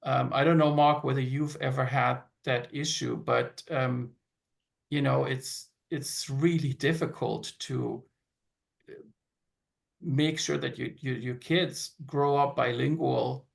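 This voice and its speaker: A man's voice: medium pitch, steady tone, takes it slowly, vocal fry. A man talking as a panel guest, hesitant and full of fillers and restarts. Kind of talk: casual panel chat.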